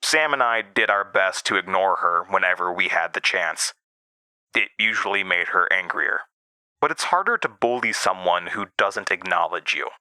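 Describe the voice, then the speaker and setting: A man narrating a scripted first-person horror audio drama as a storyteller, with dramatic pauses. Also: low voice, animated, bright, forceful tone, clean tone, no room sound. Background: music, plucked guitar.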